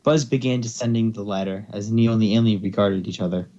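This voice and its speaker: A man's voice: fairly low pitch, fairly even intonation, quick pace, warm tone. Man narrating a story, slightly dull recording. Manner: stumbles over words while reading. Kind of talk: amateur fan fiction narration.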